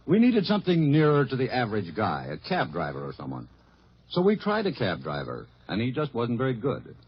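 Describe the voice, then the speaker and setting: A man's voice: medium pitch, wildly swooping pitch, a little fast, slightly rough. An American man hosting a radio program, reading scripted narration with dry humor on a vintage broadcast. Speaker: radio host. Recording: muffled 1950s radio broadcast.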